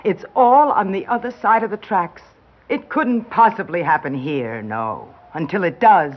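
An actress playing a weary, blunt newspaper reporter in a 1960s American radio drama, speaking intensely with dramatic pauses. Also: female voice, fairly low pitch, expressive. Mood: bitter. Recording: dull vintage radio recording.

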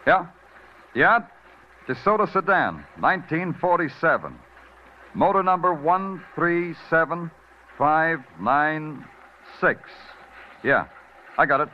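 An actor playing a terse, wisecracking detective lieutenant who also narrates in a brooding tone, in 1940s radio style. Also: male voice, on the high side, animated, takes it slowly, pauses often, slight background noise. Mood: urgent. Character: hard-boiled male detective lieutenant, terse and dry. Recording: dull old radio recording with music.

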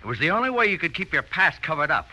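An actor playing a nervous, scheming blackmailer in an old radio drama, urgent and prone to stammering. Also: male voice, on the high side, big pitch swings, quick pace, bright, forceful tone, heavily acted. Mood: triumphant, taunting. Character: nervous, scheming male blackmailer, jumpy and evasive. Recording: faintly noisy, thin old radio recording.

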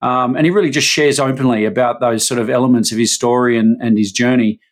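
A man hosting a podcast in a mid-range voice. He talks casually, pausing on fillers and restarting his sentences. The tone is friendly and conversational. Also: mid-range voice, steady tone. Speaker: podcast host. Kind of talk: conversational interview.

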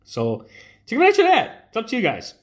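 A man's voice: very high-pitched, huge pitch swings, brisk. A congested young man chatting loosely as a streamer, rambling with many fillers and restarts. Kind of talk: casual live-stream chat.

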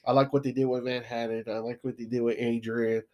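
A man with a stuffy nose co-hosting a podcast, recapping plot in a relaxed way, full of "kinda" and "you know". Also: medium pitch, a little fast, dull audio. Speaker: podcast co-host. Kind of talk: casual chat.

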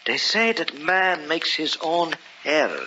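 An actor playing a reluctant, fearful art dealer in a radio horror drama, speaking gravely, formally and with ominous hesitation. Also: male voice, fairly high pitch, animated, slow, bright, forceful tone, heavily acted. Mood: fearful, pleading. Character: uneasy, reluctant male antique art dealer. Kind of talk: old-time radio horror drama acting. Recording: muffled, hissy old radio recording.

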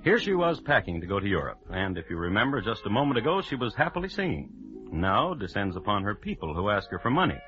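An actor playing a wry, mock-formal storyteller narrating an old-time radio crime drama. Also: male voice, on the low side, sing-song, fast, a bit bright. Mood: wry, droll. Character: wry, wordy male storyteller of a historical crime tale. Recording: muffled vintage radio recording with music.

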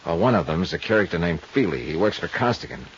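An actor playing a tough, world-weary insurance investigator in a 1950s radio drama, speaking and narrating in a dry, wry, understated way. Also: male voice, low voice, lively intonation, fast, slight background noise. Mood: pensive, uneasy. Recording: muffled 1950s radio recording with music.

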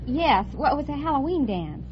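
An American woman from Brooklyn answers a quiz host chattily. Her voice sits a bit high. It is a muffled old radio recording, very noisy, with a loud audience. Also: big pitch swings, fairly quick, a crowd in the background. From Brooklyn, New York. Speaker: quiz show contestant, housewife.

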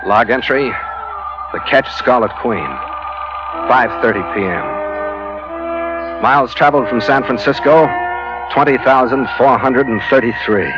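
An actor playing a tough, sardonic ship's skipper in an old radio adventure, with clipped delivery. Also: male voice, medium pitch, measured pace, long pauses, close to an everyday voice. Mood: grim narrating. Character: hard-boiled, wry ship's skipper and adventurer. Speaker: lead actor and first-person narrator. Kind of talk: 1940s radio adventure acting and narration. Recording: old muffled radio recording with music.

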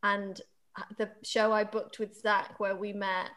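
A woman with a British accent co-hosting a casual podcast chat, chatty and quick to laugh. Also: mid-range voice, flat delivery, a bit bright. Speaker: actor and podcast co-host.

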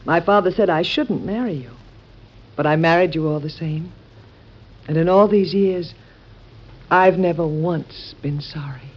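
A woman's voice: lowish voice, a little slow, long pauses, husky. An actress playing a devoted middle-aged wife and mother, sincere and reasoning, in an old-time radio drama. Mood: gently persuasive. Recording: muffled old radio recording.

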